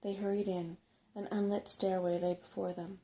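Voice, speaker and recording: A soft-spoken woman narrating a bedtime story in an ASMR style. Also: mid-range voice, flat delivery, normal speed, a little hiss or hum.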